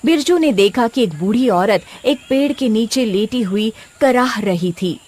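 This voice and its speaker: A woman's voice: on the high side, lively intonation, measured pace, darkish tone. A woman reading scripted narration as the storyteller of a tale.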